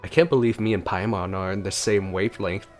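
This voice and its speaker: A man's voice: low-pitched, fairly even intonation, fairly quick. A man giving casual gameplay commentary as a streamer, laid-back with wry asides. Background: game music.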